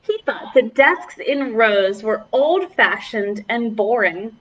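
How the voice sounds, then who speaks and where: A woman's voice: a little high, lively intonation, slow pace, a bit bright, far from the mic, highs cut off, a little hiss or hum. A woman children's librarian leading a preschool storytime, reading aloud and chatting encouragingly to the children as her friends.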